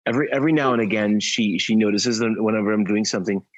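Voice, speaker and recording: A man's voice: fairly low pitch, fast. A man with a Trinidadian accent, co-hosting a podcast in talkative casual chat. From Trinidad and Tobago. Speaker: podcast co-host. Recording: muffled lo-fi podcast audio.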